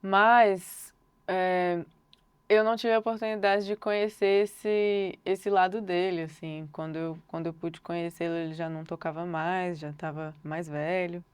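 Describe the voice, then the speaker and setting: A young woman with a Brazilian accent talks through her answers slowly, reaching for filler words as she thinks. Her voice sits low and sounds smooth and clean. The sound is dry and close, with no room around it. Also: fairly even intonation, no room sound. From Brasília, Brazil. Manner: thoughtful, unhurried, hedges often with filler words. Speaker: singer-songwriter guest. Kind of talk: reflective interview answers.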